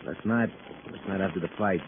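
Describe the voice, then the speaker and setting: Guy playing swaggering young fighter, slangy and sleepy, lowish voice, old crackly radio show. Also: a little fast, close to an everyday voice. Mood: boastful. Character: cocky sleepy young male boxer, boastful ladies' man. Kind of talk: 1950s radio crime drama acting. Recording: muffled noisy old radio recording.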